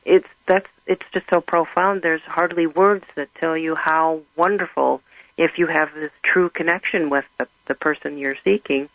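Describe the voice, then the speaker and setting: A middle-aged woman whose first language is German, speaking earnestly and haltingly as an interview guest. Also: deep voice, fairly even intonation, a bit bright, dry recording, poor audio. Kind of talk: personal testimony in conversation.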